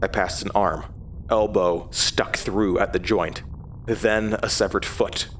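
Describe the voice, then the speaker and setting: Horror audio drama lead: haunted first-person narrator, lowish voice, measured and hesitant. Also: male voice, steady tone, a bit bright, slight background noise. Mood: haunted, tense. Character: haunted man recounting his horrifying past.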